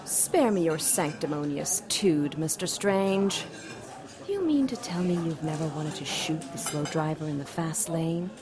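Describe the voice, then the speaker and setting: A woman's voice: low-pitched, animated, unhurried, over music. An actress playing a seductive, haughty female demon with a sultry, coolly menacing manner in an audio drama. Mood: cold, disdainful. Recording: restaurant clatter and chatter behind.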